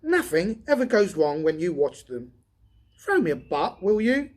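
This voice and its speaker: A man reading an audiobook, voicing an excitable, weary, speculative robot technician with an emphatic, agitated delivery. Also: high-pitched, measured pace, voice pushed up, exaggerated voice. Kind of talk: audiobook character dialogue.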